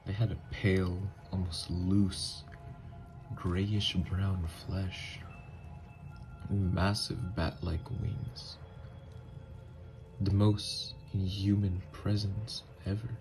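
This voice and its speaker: A man playing a gentle, haunted, newly-turned vampire lover in an ASMR audio drama, speaking in hushed, halting, hesitant phrases. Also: sits low, flat delivery, soft background music, full character voice. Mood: wistful, awed.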